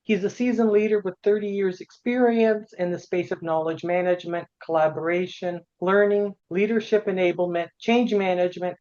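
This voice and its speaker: A woman co-hosting a podcast reads a measured, formal introduction of the guest. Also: fairly low pitch, fairly even intonation, darkish tone, muffled recording. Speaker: podcast co-host.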